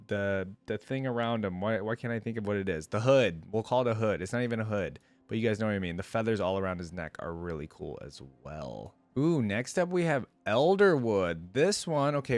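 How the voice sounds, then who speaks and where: Man, lowish voice, casual reaction creator, informal, opinionated, rambling delivery, dry close sound. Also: lively intonation, a little fast, few pauses, no echo, soft background music. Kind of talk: casual reaction commentary, partly reading aloud.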